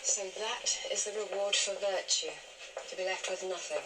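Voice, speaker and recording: A woman's voice: mid-range voice, steady tone, normal speed, background noise, close to an everyday voice. An actress playing an earnest woman in a period adventure drama on television. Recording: distant TV playback under loud music.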